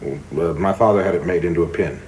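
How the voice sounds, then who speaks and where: An actor playing a coldly zealous young government official in a radio play, speaking formally and insistently with polite deference that cuts. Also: male voice, sits low, brisk, a little mellow, lightly acted. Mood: insistent, clipped. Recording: clearly noisy background ambience.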